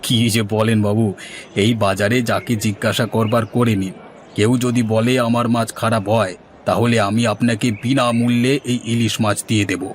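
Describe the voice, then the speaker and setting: A man's voice: on the low side, fairly even intonation, normal speed, a little hiss or hum, close to an everyday voice. A man voicing a persuasive village fishmonger, eager to please, in a cartoon folktale. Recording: music bed under the voice.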